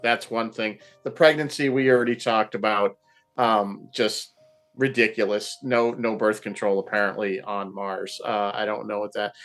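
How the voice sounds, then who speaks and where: A man talking casually as a panelist, giving his opinions in a halting, think-aloud way. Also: on the low side, steady tone, a bit bright, soft background music. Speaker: panelist in a TV show discussion.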